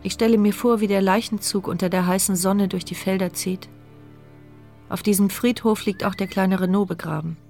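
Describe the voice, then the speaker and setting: A woman's voice: mid-range voice, steady tone, normal speed, darkish tone, natural voice. An anxious inner voice of a paralysed woman, voiced by an actress, speaking thoughts no one else can hear. Her tone is tense and introspective, set against faint music. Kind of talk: radio play inner monologue.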